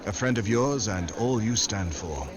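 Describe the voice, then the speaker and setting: Actor playing a suave, low-voiced secret agent. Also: male voice, lively intonation, unhurried, crowd noise. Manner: smooth, wry, theatrical. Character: suave unflappable secret agent, dryly flirtatious. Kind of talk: 1940s radio drama acting.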